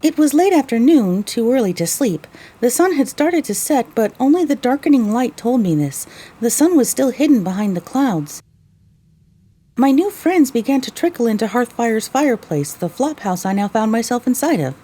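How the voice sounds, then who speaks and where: A woman's voice: a little high, sing-song, moderate pace, mellow. A woman playing a young mare adventurer, weary and wry, narrating her story in the first person for an audio drama.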